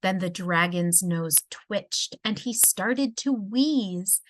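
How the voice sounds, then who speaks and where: A woman reading a rhyming children's story aloud in an expressive storybook style. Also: mid-range voice, takes it slowly, dry recording. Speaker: storybook reader.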